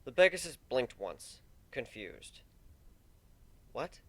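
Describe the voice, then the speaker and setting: A man's voice: lowish voice, expressive, normal speed, dry recording. A man narrating a fan fiction story as a dramatic reading.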